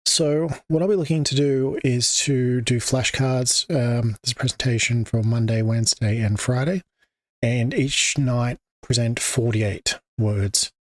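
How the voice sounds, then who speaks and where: A man's voice: medium pitch, steady tone, measured pace, dry recording. An Australian man hosting an English-teaching livestream, talking off the cuff with many fillers and restarts. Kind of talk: unscripted livestream talk. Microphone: desk microphone.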